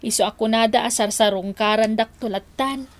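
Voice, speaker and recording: A woman acting a plain-spoken middle-aged mother in an Ilocano radio drama, drawn-out and sighing. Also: fairly high pitch, steady tone, natural voice. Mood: wry, contented. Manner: drawn-out, full of sighs and hums.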